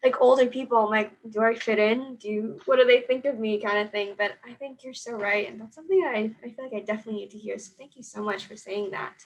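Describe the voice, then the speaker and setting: A woman's voice: fairly high pitch, steady tone, quick pace, few pauses, rough recording. A young adult woman hosting an interview, responding in an earnest, agreeable way. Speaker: host. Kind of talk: interview chat.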